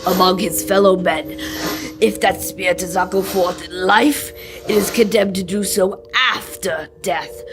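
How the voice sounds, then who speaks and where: A young woman plays a dead businessman's doomed ghost in a radio play. The delivery is very slow, solemn and drawn out, full of woe, with clear music behind it. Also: medium pitch, close to an everyday voice. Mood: solemn reproach. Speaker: student actor. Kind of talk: old-time radio drama acting.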